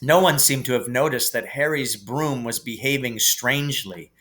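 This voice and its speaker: A man reading a children's novel aloud as expressive narration. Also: mid-range voice, moderate pace. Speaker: reader.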